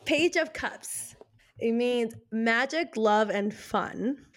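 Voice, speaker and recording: Giggly, higher-voiced woman chatting casually. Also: takes it slowly. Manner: giggly, gushing, slangy. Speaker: podcast co-host. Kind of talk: casual chat with tarot card readings.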